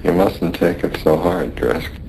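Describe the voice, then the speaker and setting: An actor playing a weary, stoic, dying merchant sailor, rough-spoken, weak and in pain, in a radio drama. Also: male voice, low-pitched, moderate pace, a little mellow, a little hiss or hum, low-quality recording. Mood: resigned weary. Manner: rough uneducated sailor speech.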